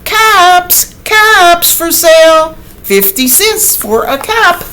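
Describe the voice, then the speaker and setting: Woman voicing an earnest peddler's high sing-song call. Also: big pitch swings, drawn-out words, pushed and bright, slight background noise, exaggerated voice. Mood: hawking, hopeful. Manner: sing-song street-vendor calling. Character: earnest male street peddler calling out his wares.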